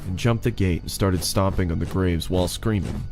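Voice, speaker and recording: A man's voice: low-pitched, normal speed, over music. A man reads a scripted first-person horror story as its narrator.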